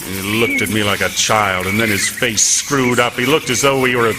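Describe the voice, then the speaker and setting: A man playing a lighthouse keeper who narrates a rat siege, shifting from hushed recollection to excitement in a stage radio drama. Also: fairly low pitch, moderate pace, natural voice. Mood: tense. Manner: storytelling, swings from hushed to excited. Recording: reverberant hall with loud noise.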